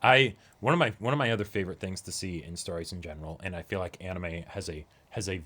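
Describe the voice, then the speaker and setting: A young man talks as co-host of a casual episode discussion. His voice is a little low. He speaks earnestly and conversationally, repeating words as he builds a point. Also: fast talker, fairly bright tone. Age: young adult. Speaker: co-host of an anime reaction show. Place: small indoor room, seated before a backdrop.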